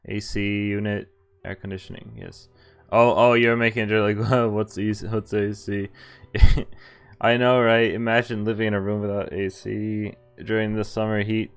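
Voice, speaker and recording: Low-voiced young man streaming a visual novel. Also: little pitch movement, normal speed, vocal fry, clear, resonant tone. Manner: casual, jokey, hesitant asides. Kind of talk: casual live stream commentary mixed with read-aloud narration. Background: game music.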